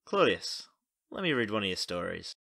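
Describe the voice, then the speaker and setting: A man's voice: fairly low pitch, big pitch swings, moderate pace, gravelly, a bit bright, slight background noise, heavily acted. A man acting an easygoing, chatty teenage schoolboy in a casual audio drama.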